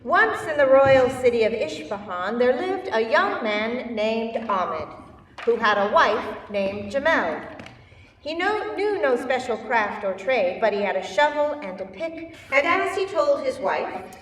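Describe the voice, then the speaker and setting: A woman's voice: a little high, unhurried, a little hiss or hum. Woman narrating a folktale in a hall. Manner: clear storytelling, expressive. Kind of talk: reader's theater narration. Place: university hall. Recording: echoey hall, mic far off.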